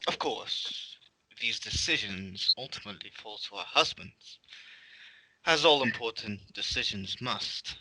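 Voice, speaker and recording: A man's voice: fairly low pitch, lively intonation, a little slow, noisy background. A man playing a cool, haughty queen with pointed politeness in an amateur script table read. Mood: cool, condescending. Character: cool, condescending queen at a royal feast.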